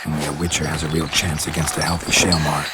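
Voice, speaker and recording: A man playing a stoic, world-weary monster hunter in a video game, gravelly and hushed, with a terse deadpan delivery. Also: extremely low pitch, quick pace, over loud music. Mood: blunt, skeptical.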